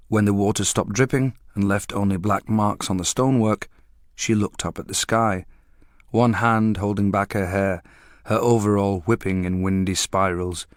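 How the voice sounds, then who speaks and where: A man narrating a story in a quiet, measured way. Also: lowish voice, dry recording, studio quality. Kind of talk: scripted audiobook narration.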